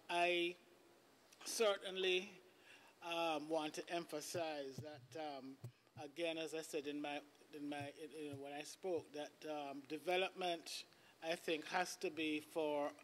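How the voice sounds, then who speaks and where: Halting older man, slightly high voice. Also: fairly even intonation, fairly bright tone, slight background noise. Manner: halting, repeats words searchingly. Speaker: panelist, human rights specialist. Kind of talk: extemporaneous closing remarks on a panel. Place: large indoor conference room. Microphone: desk conference microphone.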